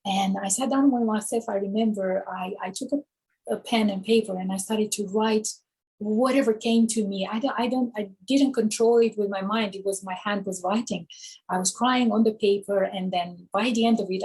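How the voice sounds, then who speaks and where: A woman from Romania, a guest author, answering interview questions thoughtfully with many pauses and ums. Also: medium pitch, gravelly, a little mellow, husky, rough recording.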